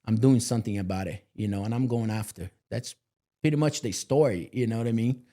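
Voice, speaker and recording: Earnest man, mellow voice. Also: on the low side, a little fast. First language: Portuguese. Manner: earnest, motivational, lots of "you know" fillers. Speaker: guest. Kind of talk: candid podcast interview.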